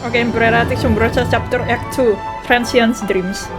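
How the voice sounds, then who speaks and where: A young woman with an Indonesian accent giving casual, expressive and emotional gameplay commentary, mixing languages. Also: fairly high pitch, moderate pace. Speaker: game streamer. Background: game music.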